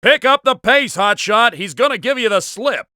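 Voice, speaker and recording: A brash agent sidekick in a video game. His voice is very high, sharp and lively, slangy and always urging. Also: moderate pace, dry recording, clean studio sound, exaggerated voice. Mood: excited urging. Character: brash, wisecracking male American agent ally. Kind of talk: video game radio chatter.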